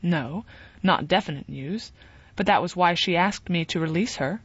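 Gentle young man, measured and calm, in a female reader's own voice. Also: lowish voice, husky, no room sound, dull audio.

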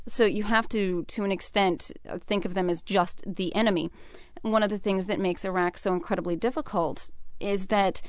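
A woman's voice: medium pitch, clean tone, no echo, clean studio sound. A young American woman giving earnest, thoughtful and articulate answers as an interview guest. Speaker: interview guest, military veteran.

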